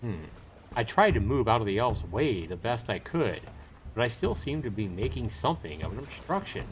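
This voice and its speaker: A man's voice: lowish voice, very animated, moderate pace, noisy background. A man streaming a visual novel, reading it aloud with joking, casual asides. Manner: jokey, bantering asides between read passages. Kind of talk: live game read-aloud with casual banter.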